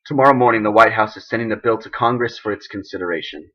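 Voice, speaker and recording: A man playing an embattled, idealistic president who gives an emphatic, deliberate, indignant speech in a dramatic monologue. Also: fairly low pitch, lo-fi sound, lightly acted. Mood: resolute.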